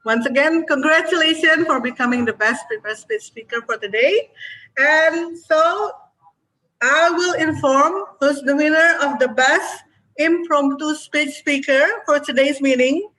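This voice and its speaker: A woman from Indonesia hosts an online speaking-club meeting over a video call. Her voice sits high and she speaks slowly. Her manner is cheerful and encouraging. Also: bright, forceful tone, rough recording. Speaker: meeting host, Toastmaster of the day.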